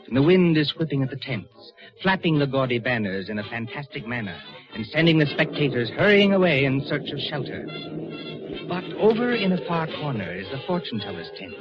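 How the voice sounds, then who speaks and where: A man playing a suave, ominous mystery narrator in measured, formal 1940s radio suspense style. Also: medium pitch, close to an everyday voice. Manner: measured, formal, dramatic delivery. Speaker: narrator and program host. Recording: muffled vintage radio recording, music behind.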